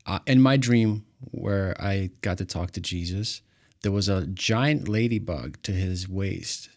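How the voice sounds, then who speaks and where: A man from Ukraine, a native Russian speaker, hosts the talk with a lowish voice. He tells his story eagerly, rising and stumbling as he goes. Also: measured pace. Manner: animated storyteller, restarts and stumbles. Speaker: host. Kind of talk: storytelling interview chat.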